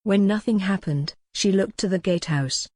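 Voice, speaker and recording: A woman reading scripted historical romance as an audiobook narrator, measured and articulate. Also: mid-range voice, darkish tone, dry recording.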